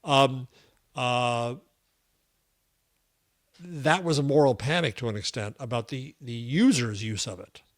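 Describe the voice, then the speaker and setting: A man's voice: mid-range voice, unhurried, long pauses. An older man co-hosting a podcast in casual chat, wry and digressive, telling stories. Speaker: podcast co-host.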